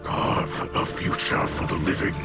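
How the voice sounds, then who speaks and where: An actor playing a grim, menacing alien warlord in a video game, declaiming in a slow growl. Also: male voice, close to an everyday voice. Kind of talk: dramatic video game villain speech. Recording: loud music over the voice.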